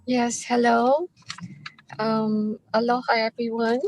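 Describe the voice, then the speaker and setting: A woman's voice: a little high, level tone, slow, a little hiss or hum, rough recording. A woman from Hawaii making casual remarks on a video call. She hesitates often, with many ums.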